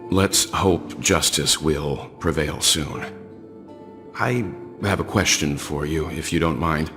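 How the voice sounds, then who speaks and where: An actor playing a calm, courteous, perceptive middle-aged investigator in a video game, speaking in measured, formal phrases. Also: male voice, a bass voice, long reverb tail, over music. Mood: polite, diplomatic.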